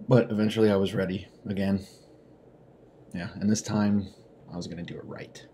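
Guy acting as weary soft-spoken man giving hesitant asmr testimonial, low voice, bit of noise. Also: level tone, moderate pace, natural voice. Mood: rueful. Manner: hesitant, subdued, confessional. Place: small indoor room.

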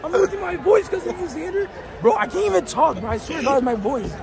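A man's voice: very high-pitched, expressive, fast, gravelly. A young man whose voice is giving out, complaining dramatically to a friend's phone camera in a school gym. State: losing his voice. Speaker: friend of the vlogger. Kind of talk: casual chat. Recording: loud crowd noise.

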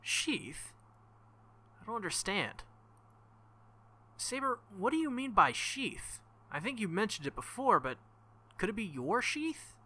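A man's voice: high-pitched, big pitch swings, a little slow, pauses often, sharp tone, pitched above the performer's own voice, exaggerated voice. A man voicing a stubborn, wounded teenage boy hero in a visual novel, hesitant and questioning. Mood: baffled.

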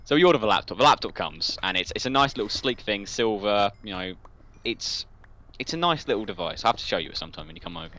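A man's voice: on the low side, very animated, fast, bright, forceful tone, dull audio. A young man, telling rambling, jokey stories in casual chat while gaming. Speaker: gaming video creator.

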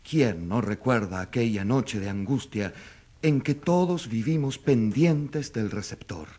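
An actor playing a combative, undaunted newspaper editor making an accusation in a radio drama, with emphatic, oratorical delivery. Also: male voice, mid-range voice, expressive, a little slow, dark tone. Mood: solemn.

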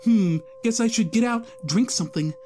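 A man's voice: extremely high pitch, moderate pace, over loud music. A man voicing a skinny, gloomy young cartoonist who narrates with sardonic, theatrical mock-grandeur. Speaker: narrator and lead.

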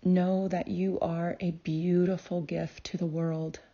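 A woman narrating a guided meditation in a calm, soothing way, with deliberate phrasing and long pauses. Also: fairly low pitch, lo-fi sound. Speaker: guided meditation host and healer.

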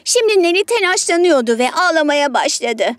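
A woman's voice: very high voice, sing-song, brisk, no room sound, soft background music. A woman storyteller narrating a children's story in a scripted read.

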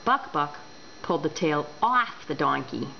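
A woman reading a children's book aloud indoors, expressive and deliberate. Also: deep voice, gravelly. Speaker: storyteller. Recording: dull home recording with background noise.

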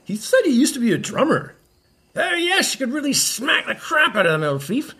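A washed-up rock star for a comedy podcast. His voice is husky and very animated in pitch. He rambles breezily and shamelessly, full of flippant slang. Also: moderate pace, heavily acted. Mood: breezy, boastful. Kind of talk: audio comedy acting.

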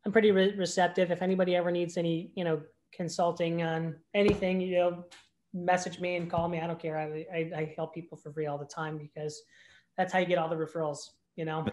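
A man in his thirties, a realtor guest, talking casually on a video call with a fairly high-pitched voice and upbeat, emphatic delivery. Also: darkish tone. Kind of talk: casual interview chat. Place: indoor room.

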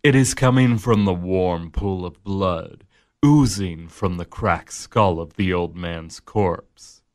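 An actor playing the Devil in a radio drama, a measured, mocking tempter who narrates and coaxes. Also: male voice, low voice, darkish tone. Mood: ominous. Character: the Devil, smooth, amused male tempter.

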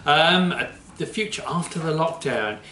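A man's voice: on the high side, lively intonation, unhurried, bright, forceful tone. A man in his fifties, cheerily and jokily presenting to children in a chatty way. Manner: cheery, jokey. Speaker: children's church programme presenter. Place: indoors in front of a plain backdrop. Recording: roomy echo.

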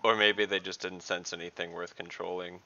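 A man with a very deep voice full of fry plays in a tabletop game, trading dry jokes. His tone has a bright edge, and the call audio sounds dull and lo-fi. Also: level tone, brisk. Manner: wry, joking. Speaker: player.

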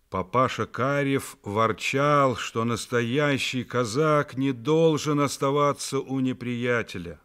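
A man narrating a literary radio play as the author's voice, measured and reflective, with deliberate pauses. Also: mid-range voice, a bit bright, clear, resonant tone. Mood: solemn storytelling. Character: authorial narrator of a family novel, reflective and solemn. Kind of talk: scripted literary narration, dramatic radio reading.

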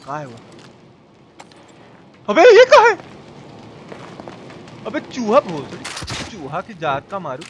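A man's voice: sits high, huge pitch swings, slow, pauses often, muffled recording. A man giving informal, foul-mouthed live game commentary and talking to his viewers. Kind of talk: live gameplay commentary with chat shout-outs. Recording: clear background noise and game ambience. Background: video game ambience.

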